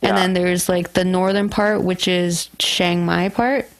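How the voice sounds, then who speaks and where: A woman's voice: lowish voice, flat delivery, measured pace. Chatty woman podcast host. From Thailand and Canada. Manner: chatty, many likes and fillers. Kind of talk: casual chat.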